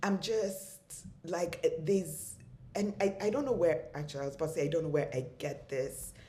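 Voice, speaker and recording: A young woman from Congo talks as a guest on a podcast, her voice sitting notably low. She is animated and casual, and she keeps tossing in "like" and "right?" as she goes. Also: normal speed, a little hiss or hum. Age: young adult. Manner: animated, frequent "like" and "right?" fillers. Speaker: guest, fashion designer and entrepreneur.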